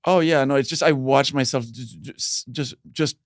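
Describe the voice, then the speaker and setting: A man from Belgium, a stand-up comedian guest in casual podcast chat, talking haltingly with many restarts and fillers. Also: medium pitch, no echo, studio quality.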